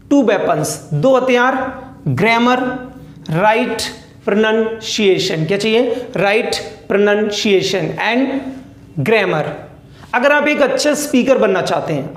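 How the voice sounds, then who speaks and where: A man from India in his thirties with an Indian accent teaches a spoken English lesson. His voice sits very high, and it rings around an echoey classroom. He keeps asking the class questions and then answering them himself. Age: 30s.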